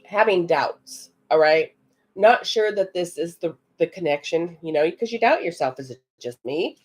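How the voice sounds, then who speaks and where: This is a woman giving a live psychic card reading on a stream. Her voice is raspy, and she speaks in a loose, chatty way, often stopping to answer viewers in the chat. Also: fairly low pitch, lively intonation, moderate pace. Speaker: psychic reader.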